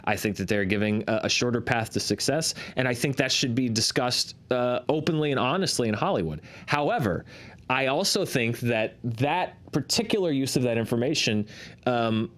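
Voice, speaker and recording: A man's voice: mid-range voice, animated, moderate pace, fairly bright tone. Man hosting a conversational analysis show. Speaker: host of a box office analysis show. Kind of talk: conversational box office commentary.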